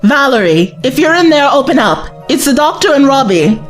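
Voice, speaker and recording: A woman's voice: sits high, very animated, normal speed, soft background music. An actress playing a spirited, sceptical young woman, a traveller's companion in an audio drama, questioning and cheeky. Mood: matter-of-fact. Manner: questioning, cheeky, exasperated edge.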